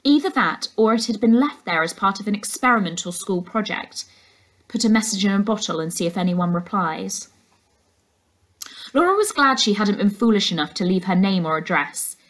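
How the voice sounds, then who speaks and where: A young woman narrates a storybook as a teacher would to a class. Her mid-pitched voice rises and falls widely, with plenty of expression. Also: lively intonation, normal speed. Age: young adult. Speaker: teacher reading aloud to a class. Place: indoors, living room.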